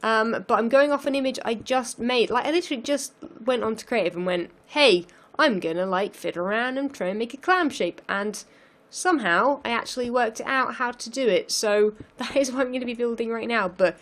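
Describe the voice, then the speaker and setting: A young woman hosting a game let's play, chatting and thinking aloud as she builds, her voice a little croaky from losing it. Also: a little high, brisk, fairly bright tone. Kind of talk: casual gameplay commentary.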